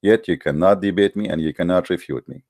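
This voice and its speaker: Low-voiced combative non-native man. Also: fairly quick, darkish tone, no echo, clean studio sound. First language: not English. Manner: combative, emphatic, with frequent "uh" and non-native grammar. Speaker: online debate host. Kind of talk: addressing viewers before taking calls.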